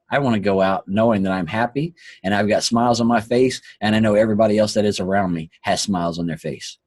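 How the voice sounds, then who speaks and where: A hoarse man who is losing his voice hosts an earnest, preachy motivational talk and often repeats his phrases. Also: fairly low pitch, a little mellow, no room sound. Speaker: host. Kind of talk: motivational talk on a live online call.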